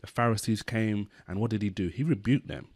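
A man's voice: on the low side, fairly quick, a little mellow, dry recording. A man in his thirties hosting a channel, talking to camera indoors and earnestly explaining a story through scripture. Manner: earnest, explanatory, leans heavily on "basically". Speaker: channel host. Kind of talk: Bible-based commentary on a news story.

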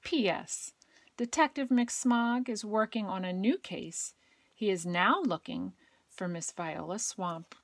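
A woman with a gentle, expressive storytelling manner, reading a children's picture book aloud. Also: medium pitch, takes it slowly. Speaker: educator and child care provider reading aloud.

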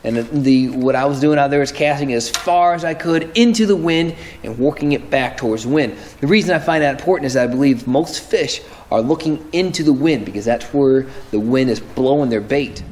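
A man's voice: mid-range voice, brisk, few pauses, a little mellow, a little hiss or hum. A man in his thirties hosting a fishing show, explaining his methods in a casual, explanatory way and correcting himself as he goes, filmed indoors in a tackle room close to the camera. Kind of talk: instructional fishing talk to camera. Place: indoor tackle room with lure-covered wall.

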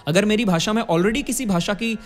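A young man with a high voice and an Indian accent gives a singing and diction lesson. He explains step by step and demonstrates sounds, speaking into a close studio mic with faint music behind him. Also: fairly quick. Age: 20s. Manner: explanatory, demonstrates sounds, checks in with tag questions. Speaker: vocal music teacher. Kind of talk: instructional talk on singing and diction. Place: indoor curtained studio. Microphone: studio condenser microphone close in front.

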